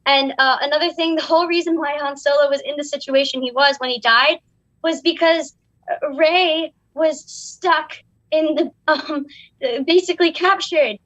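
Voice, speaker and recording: A woman's voice: high voice, steady tone, moderate pace, fairly bright tone. A young woman in casual, opinionated chat with a hesitant, rambling delivery. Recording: dull, roomy sound.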